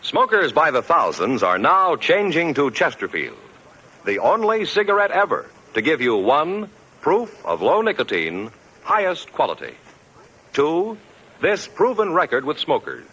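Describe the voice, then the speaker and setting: A man's voice: on the high side, huge pitch swings, unhurried, pushed and bright, husky, low-quality recording. A man with an American accent announcing formally and emphatically in 1950s radio style. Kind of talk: 1950s scripted radio narration and advertising.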